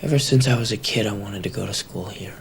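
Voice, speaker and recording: A man's voice: on the low side, fast, gravelly, breathy edge, heavily acted. A man playing an earnest, determined young man in a dramatised audiobook. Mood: earnest resolve.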